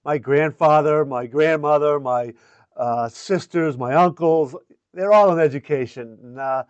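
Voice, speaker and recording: A man's voice: on the high side, unhurried, clean tone, no echo. Older male host, dull lo-fi sound. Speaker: show host and mindset coach. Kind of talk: scripted promo and introduction, then casual interviewing.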